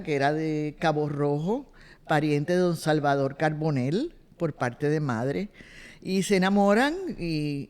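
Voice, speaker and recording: A woman in her seventies with a Puerto Rican accent, recalling her family in an oral history interview, with unhurried fillers. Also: deep voice, a little mellow. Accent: Puerto Rican Spanish. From Puerto Rico. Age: 70s. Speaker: interviewee, retired cultural director. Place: boardroom.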